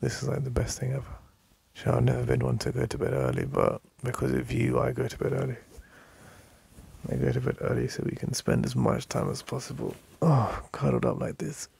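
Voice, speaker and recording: A man with an English accent playing an affectionate, teasing boyfriend in an intimate ASMR roleplay, murmuring in a deep, breathy voice. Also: level tone, a little mellow, heavily acted. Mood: tender, drowsy. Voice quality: deep, breathy, husky, gravelly. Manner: slow, murmuring, with sighs and lingering pauses.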